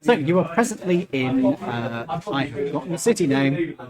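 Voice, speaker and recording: A man's voice: a little high, animated, normal speed. Male game master with chatter around him. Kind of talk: casual tabletop game narration and banter.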